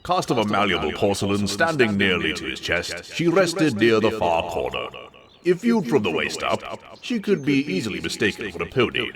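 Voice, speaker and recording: A man narrates a comic story with theatrical flair and wry expression. His low voice sweeps up and down, picked up at some distance from the microphone, with a clear crowd murmur behind. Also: huge pitch swings, moderate pace. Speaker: story reader.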